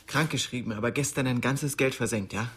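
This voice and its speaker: A man's voice: mid-range voice, brisk, a bit bright, a little hiss or hum, close to an everyday voice. An actor playing a cocky, restless young man who narrates his story in a slangy, streetwise radio drama style. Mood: annoyed. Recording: music behind the voice.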